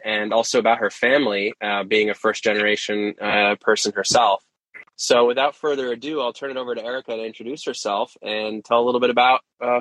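A man hosting a podcast, introducing his guest and asking friendly, halting questions. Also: medium pitch, a bit bright, no echo, rough recording. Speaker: podcast host. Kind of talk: podcast interview.

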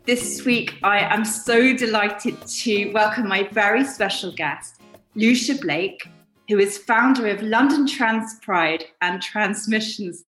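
A woman's voice: medium pitch, slow pace, a bit bright. A woman hosting a conversational interview podcast, effusive and often stammering over repeated words. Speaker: podcast host. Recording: roomy echo, faint music.

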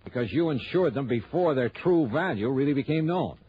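A man's voice: mid-range voice, animated, brisk, slight background noise, lightly acted. An actor playing a tough, sardonic male insurance investigator in a 1960s radio detective drama, bluntly accusing. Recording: muffled old 1960s radio recording.

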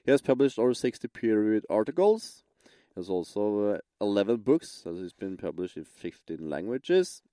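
A male podcast host with a Norwegian accent speaks in a fairly low voice, recorded close and dry. He introduces and interviews in non-native English, pausing and repeating words as he goes. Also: very animated, dark tone, dry recording. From Norway.

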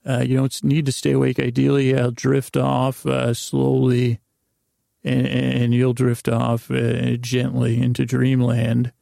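A man hosts a sleep podcast in a mellow, dark voice thick with vocal fry. His delivery is a soft-edged, meandering drawl that keeps interrupting itself and wandering into silliness. The intonation stays quite even throughout. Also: medium pitch, no room sound, studio quality. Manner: meandering, halting, silly, self-interrupting. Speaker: podcast host. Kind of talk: rambling bedtime podcast intro.

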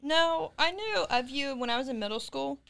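A woman in her twenties, a podcast guest chatting in a relaxed way. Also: high voice, normal speed, vocal fry. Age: 20s. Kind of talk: casual chat.

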